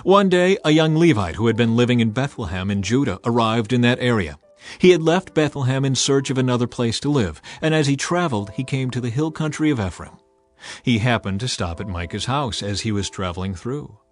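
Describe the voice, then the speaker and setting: A man reading a dramatized Bible as narrator, with measured, storytelling delivery. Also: mid-range voice, lively intonation, faint music behind. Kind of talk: dramatized scripture narration, scripted.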